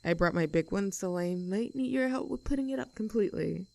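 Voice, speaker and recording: A woman's voice: medium pitch, animated, fairly quick, vocal fry, warm tone, no room sound. A woman playing a young, outdoorsy tomboy friend in an audio roleplay, chatting casually in an upbeat, caring and reassuring way. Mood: cheerful, warm. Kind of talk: roleplay audio acting, casual intimate chat.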